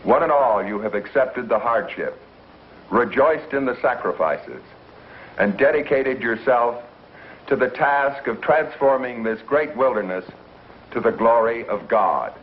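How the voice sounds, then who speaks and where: Actor as slow, solemn frontier preacher. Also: male voice, mid-range voice, lively intonation, natural voice. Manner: solemn, oratorical. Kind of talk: 1950s television western acting. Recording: muffled, phone-like old soundtrack with hiss.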